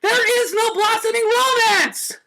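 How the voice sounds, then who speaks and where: A man's voice: extremely high pitch, measured pace, creaky, bright, forceful tone, voice pushed up, heavily acted. A man voicing an earnest female pony in a story reading, patient but frustrated, reasoning emphatically. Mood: exasperated.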